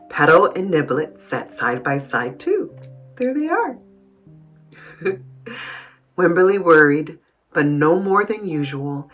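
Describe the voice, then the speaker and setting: Slow, animated woman reading to children. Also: deep voice, breathy edge. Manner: pauses to comment on the story to young listeners. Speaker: children's read-aloud host. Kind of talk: expressive picture-book read-aloud for children.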